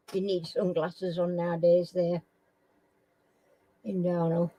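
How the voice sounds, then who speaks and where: Slow, homely Sheffield woman chatting. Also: lowish voice, little pitch movement, warm tone, dry recording, poor audio. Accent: Sheffield English. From Sheffield, England. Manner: chatty, slow and homely, often clearing her throat. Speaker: live stream host. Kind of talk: casual live chat with viewers.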